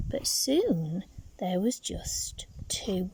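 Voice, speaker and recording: A woman reading a picture book expressively to young children, with dramatic pauses and asides to her listeners. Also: mid-range voice, very animated, a little mellow, in a whisper.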